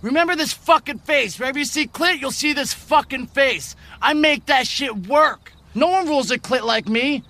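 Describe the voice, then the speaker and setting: A man delivering a boastful, profane comic rant, shouting and laughing. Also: very high voice, normal speed, few pauses, no room sound.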